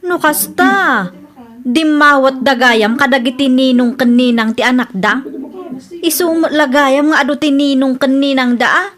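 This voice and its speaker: A woman's voice: high-pitched, unhurried, a bit bright. A woman playing a nosy, gossiping village wife in a comedy radio drama. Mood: nosy, gossipy.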